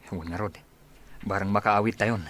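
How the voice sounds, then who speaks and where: Man playing a chummy soldier with a lowish voice. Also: fairly even intonation, moderate pace, fairly bright tone, lightly acted. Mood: casual. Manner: casual, brotherly. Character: soldier in his late twenties, chummy, teasing. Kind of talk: Ilocano audio drama dialogue.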